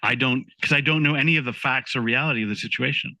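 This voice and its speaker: Bright-voiced man co-hosting a podcast. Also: medium pitch, quick pace, no room sound, rough recording. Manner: thoughtful, laughs easily, restarts sentences. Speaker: podcast co-host. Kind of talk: casual podcast conversation.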